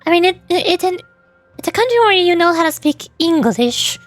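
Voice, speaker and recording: High-voiced woman streamer thinking aloud. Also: animated, fairly quick, no room sound. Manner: hesitant, thinking aloud, stammers and repeats words. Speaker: streamer, VTuber. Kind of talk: casual livestream chat with viewers. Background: background music.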